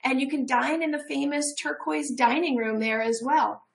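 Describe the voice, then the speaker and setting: A woman's voice: sits high, fairly even intonation, moderate pace, creaky. A middle-aged woman from a tourism office, a guest on a TV show, talking upbeat and promotional over a video call from a room at home.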